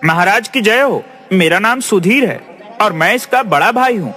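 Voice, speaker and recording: A man's voice: sits high, animated, moderate pace, bright, forceful tone, soft background music. A man voicing a polite, wise young man who reasons respectfully before a king in a cartoon story. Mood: earnest. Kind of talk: dubbed cartoon acting.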